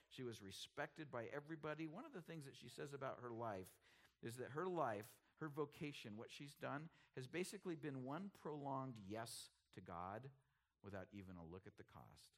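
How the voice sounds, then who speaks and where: A middle-aged man preaching, his voice rising and falling in lively swings. He drops to a near hush for weight, then pushes up loud and urgent to drive a point home, always in the rhythm of the pulpit. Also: medium pitch, normal speed. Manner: animated preaching, swings from hushed to emphatic. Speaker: pastor. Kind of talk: sermon.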